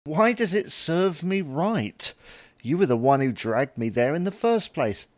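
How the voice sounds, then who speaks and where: An actor playing a grumbling, self-conscious, henpecked husband in a domestic comedy audio drama, complaining reluctantly and hesitantly. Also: male voice, a little high, lively intonation, a little slow, no echo, clean studio sound. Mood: aggrieved.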